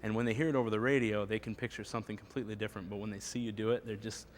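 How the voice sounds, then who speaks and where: A man's voice: lowish voice, steady tone, racing, slight background noise. A man giving a casual interview as a radio theater participant.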